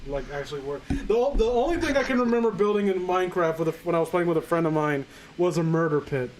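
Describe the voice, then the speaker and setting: Sarcastic, higher-voiced man reading aloud. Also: animated. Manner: sarcastic, halting, swears freely. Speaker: co-host reading fan fiction aloud. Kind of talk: casual comedic reading with ad-libbed commentary.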